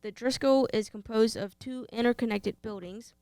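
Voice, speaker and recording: Clear-voiced Texan woman co-host. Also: on the high side, normal speed, mellow, dry recording. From Texas. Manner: playful, teasing. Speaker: podcast co-host. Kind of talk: casual chat, reading facts.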